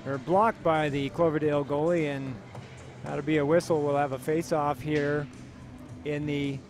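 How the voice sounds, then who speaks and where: A man from Canada giving relaxed play-by-play commentary on a youth hockey game, chatty and full of filler words. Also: fairly high pitch, moderate pace, faint music behind.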